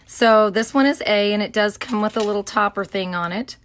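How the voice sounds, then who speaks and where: Hoarse middle-aged woman, chatty craft livestream host at her desk, loose and rambling with lots of ums. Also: medium pitch, a little fast. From Florida. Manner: chatty, greets viewers by name, many ums. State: hoarse, sore throat. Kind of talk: casual livestream demo. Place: home craft studio.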